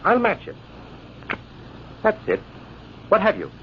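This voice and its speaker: An actor playing a self-assured, needling military officer, formal and pointed, in an old-time radio drama. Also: male voice, sits high, huge pitch swings, slow pace, heavily acted. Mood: slyly challenging. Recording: dull, noisy vintage radio recording.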